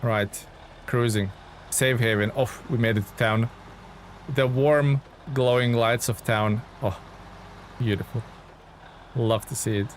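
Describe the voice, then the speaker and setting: A man talks casually to viewers while playing a game. His voice sits at an average pitch, and he is friendly and informal, often thinking aloud. Truck and engine sounds from the game are clearly heard behind him. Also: steady tone, takes it slowly, long pauses, slight background noise. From Lithuania. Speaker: video game livestreamer. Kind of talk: casual gaming stream chat and commentary.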